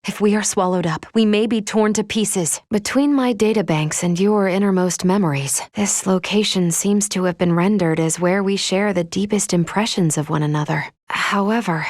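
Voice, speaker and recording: An actress playing a polite, composed female android from a video game, gently caring like an elder sister, with formal, measured, precise phrasing. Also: mid-range voice, dry recording, studio quality, close to an everyday voice. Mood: calm, cautious. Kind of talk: video game character acting.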